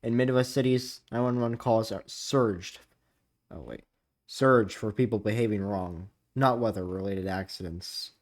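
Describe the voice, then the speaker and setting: A man's voice: fairly low pitch, measured pace. A man hosting a reaction video, reading captions aloud and adding casual commentary.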